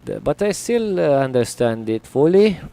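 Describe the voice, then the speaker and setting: A man with English as his third language, live-streaming his trading and talking through it slowly with many fillers at a home desk. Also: medium pitch, sing-song, creaky, mellow. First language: not English. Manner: slow, hesitant, many fillers.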